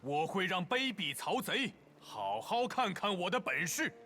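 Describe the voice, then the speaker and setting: Cocky warlord in a game, loud and proud, voice jumping all over. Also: male voice, high voice, sing-song, a little slow, slight background noise, full character voice. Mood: defiant. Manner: boastful, declamatory. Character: proud defiant warlord, fierce man. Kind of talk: video game voice acting.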